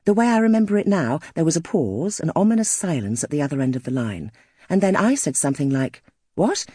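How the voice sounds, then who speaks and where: Expressive, mellow woman narrating an audiobook. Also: sits low, fast talker, slightly rough, no room sound, clean studio sound. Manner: wry, expressive storytelling. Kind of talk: first-person literary fiction narration.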